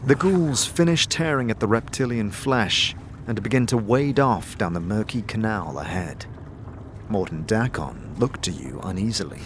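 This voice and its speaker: A man narrating a fantasy audio drama in the second person, with a deliberate, descriptive storytelling delivery. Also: on the low side, animated, noisy background. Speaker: narrator and game master.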